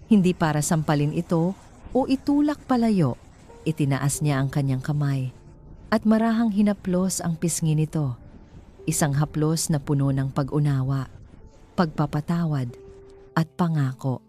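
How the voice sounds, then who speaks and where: Woman narrating in a low, mellow voice. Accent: Filipino. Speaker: story narrator. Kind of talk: scripted narration of a romance story.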